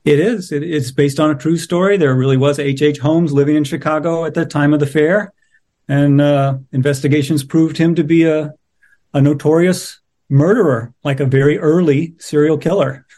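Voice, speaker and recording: A man's voice: a little high, fairly even intonation, normal speed, warm tone. A man, a playwright, chatting as an interview guest. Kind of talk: conversational interview answer.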